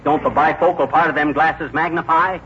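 An actor playing a cocky, folksy, wisecracking husband in 1940s radio comedy. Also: male voice, on the high side, fairly even intonation, fairly quick, lightly acted. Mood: wry. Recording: muffled, very noisy old radio recording.

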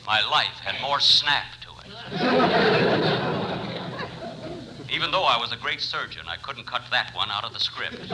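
A man's voice: mid-range voice, close to an everyday voice. An actor playing a surgeon who narrates his romance in a wry, mock-dramatic radio comedy style. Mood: wry, smitten. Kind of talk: scripted old-time radio comedy narration. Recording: noisy old radio recording.

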